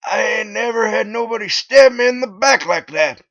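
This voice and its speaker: A man's voice: sits high, animated, moderate pace, fairly bright tone, voice pushed up. A man voicing an elderly, heavyset, fatherly lumberjack in a dramatic story, folksy and emotional. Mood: wounded, shouting. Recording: dull lo-fi, dry.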